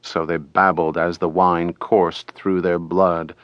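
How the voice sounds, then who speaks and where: A man reading an audiobook as dramatic scripted narration, deliberate and theatrical, close to the microphone. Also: deep voice, little pitch movement, fairly bright tone, dry recording, highs cut off.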